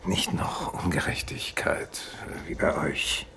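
An actor in a 1950s German radio play, playing a resigned, unbending exiled doctor who speaks in measured, grave declarations. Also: male voice, pushed and bright. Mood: solemn conviction. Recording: faintly noisy 1950s radio recording.

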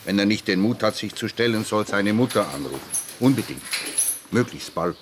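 An actor playing a gruff, brooding older police detective in a German radio play, terse and plain-spoken. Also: male voice, on the low side, lively intonation, brisk, noisy background, close to an everyday voice. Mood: firm, matter-of-fact. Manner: terse, muttering to himself.

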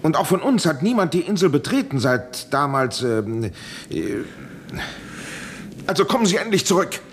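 An actor playing an anxious, evasive professor in a 1970s German radio play, hesitant and hedging, with an urgent pleading manner. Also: male voice, fairly high pitch, big pitch swings, moderate pace, fairly bright tone, slight background noise. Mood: hesitant, explaining. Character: evasive, anxious male professor guarding a secret.

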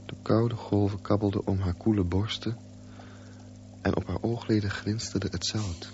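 A man giving dreamy, lyrical literary narration in a radio drama, in a hushed storytelling manner. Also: lowish voice, fairly even intonation, moderate pace, lightly acted. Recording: muffled recording with faint hum.

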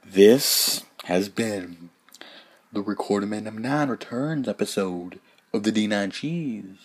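A man's voice: fairly low pitch, animated, takes it slowly, slight background noise. A man hosting a solo podcast, rambling in circles and repeating himself, swearing casually, after almost a full day without sleep. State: sleep-deprived, up almost 24 hours. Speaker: podcast host.

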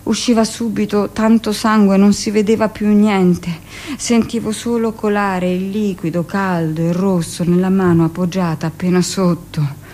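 A woman plays a hardened midwife in middle age, delivering a theatrical radio monologue. Her mid-pitched voice is deliberate and confiding, and stays matter-of-fact about cruelty. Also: level tone, dark tone, close to an everyday voice. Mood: confiding, intense. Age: middle-aged. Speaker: actress performing a radio monologue. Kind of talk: dramatic first-person theatrical monologue.

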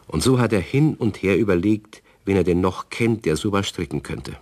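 An older man narrating a children's radio play in a calm storytelling manner. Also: low-pitched, moderate pace.

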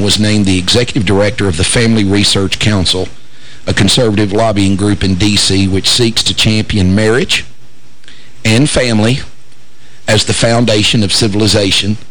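A man hosting a radio talk show, hoarse and raspy from shouting, joking wryly and stumbling into fillers and restarts. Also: lowish voice, low-quality recording. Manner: wry, joking asides, frequent fillers and restarts. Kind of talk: casual talk radio banter.

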